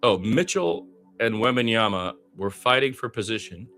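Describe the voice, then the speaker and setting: A man's voice: fairly low pitch, lively intonation, moderate pace, fairly bright tone, faint music behind. A man doing live radio basketball play-by-play in a conversational, opinionated, exclamatory way.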